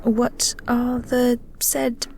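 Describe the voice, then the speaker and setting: A woman playing a flirty, awkward vampire co-worker in an intimate audio roleplay, soft and breathy, with a hesitant, polite, coy manner. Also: on the high side, flat delivery, drawn-out words, a little hiss or hum, exaggerated voice.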